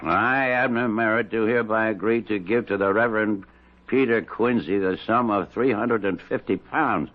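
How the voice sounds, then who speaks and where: An actor playing a grasping, aggrieved scoundrel who blusters, stammers and protests, in the manner of 1970s radio drama. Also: male voice, on the low side, normal speed, lightly acted. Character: grasping, aggrieved male scoundrel, a jailed rogue merchant. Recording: dull vintage radio broadcast recording.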